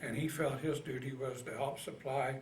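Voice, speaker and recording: Man around eighty, Midwestern American accent, halting folksy recollection, interviewed veteran, echoey room, faint background noise. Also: medium pitch, steady tone. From Indiana, United States. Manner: halting, folksy plain speech. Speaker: Navy veteran being interviewed. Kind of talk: casual oral history recollection. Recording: roomy, distant mic, faint noise.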